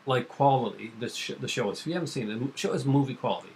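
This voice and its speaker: A man's voice: medium pitch, very fast, darkish tone, slight background noise. A young man co-hosting a casual chat about comics and TV, rambling with lots of fillers. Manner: rambling, filler-heavy, enthusiastic. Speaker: podcast co-host.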